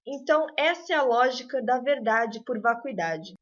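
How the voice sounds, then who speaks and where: A woman, teaching a recorded math lesson in a clear, patient, explanatory way. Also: a little high, animated, normal speed, dull audio.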